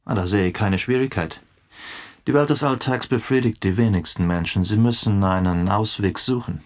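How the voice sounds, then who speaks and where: A man's voice: on the low side, moderate pace, lightly acted. Man acting a sly detective, wry, inquisitive, bit of english thrown in, radio play. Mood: knowing, secretive. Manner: peppers talk with English phrases, sly. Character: wry, inquisitive male investigator with English interjections.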